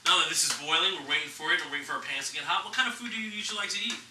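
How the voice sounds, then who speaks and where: A man's voice: on the high side, animated, racing, bright, forceful tone. Young guy chef doing a cooking demo, kinda far from the mic in an echoey kitchen. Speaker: chef hosting a cooking show. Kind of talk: casual cooking demonstration chat. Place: small home kitchen, indoors. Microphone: camera a few steps away. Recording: echoey noisy kitchen, distant camera microphone.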